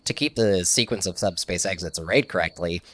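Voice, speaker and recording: A man's voice: deep voice, fairly quick, fairly bright tone. A man playing an eager, nerdy tech tinkerer in an audio comedy, explaining things in a casual, slightly awkward way. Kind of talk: audio sitcom acting.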